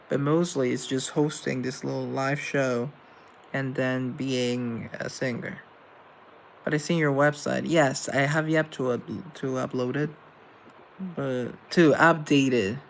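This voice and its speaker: A man's voice: a little high, a little hiss or hum. A young man from Colombia hosting a casual live show, reading out chat questions and answering in a rambling, earnest way with self-deprecating asides.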